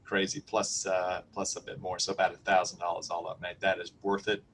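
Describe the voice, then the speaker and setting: A man's voice: sits low, flat delivery, brisk, fairly bright tone, slight background noise. A man hosting a webinar, chatting casually in a matey, approving way. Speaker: webinar host. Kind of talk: casual, unscripted reactions.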